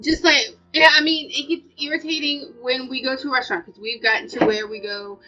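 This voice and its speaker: American female vlog co-host, bright forceful voice, emphatic and opinionated, conversational delivery over faint music. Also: sits high, steady tone, a little fast. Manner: emphatic, repeats points for stress. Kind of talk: casual opinionated chat. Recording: dull lo-fi audio, music underneath.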